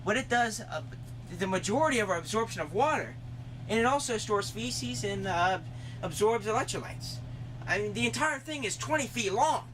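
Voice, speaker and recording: Animated teenage boy playing a goofy science-show host. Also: high voice, normal speed. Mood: enthusiastic, rambling. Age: teens. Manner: animated, emphatic, rambling. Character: excitable young male TV science educator, goofy parody. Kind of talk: spoof educational science show presenting. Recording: noisy homemade student video.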